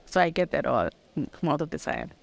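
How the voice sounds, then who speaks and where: A middle-aged woman with a naturally deep voice, a singer chatting as a guest in a podcast studio. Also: big pitch swings, vocal fry. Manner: bursts into slow soulful singing. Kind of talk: podcast interview chat. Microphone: studio mic on boom arm with headphones.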